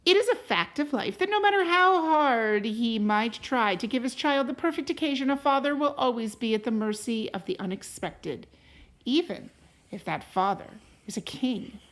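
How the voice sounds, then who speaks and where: A woman reading a storybook aloud to a class as a teacher, in a deliberate, expressive way. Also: a little high. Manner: deliberate and expressive, pausing to question listeners.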